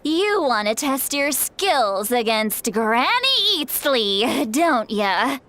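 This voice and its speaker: High, bright, tipsy granny shaman. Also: very animated, slow pace, exaggerated voice. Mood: drunken indignation. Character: tipsy, youthful-sounding granny shaman, proud, grumpy, touchy. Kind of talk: video game voice acting.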